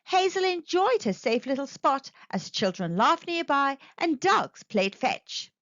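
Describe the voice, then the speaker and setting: A woman narrates a children's story in an expressive read-aloud style. Her voice sits fairly high and swoops with lively intonation. The recording is dry and slightly dull. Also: measured pace, dry recording.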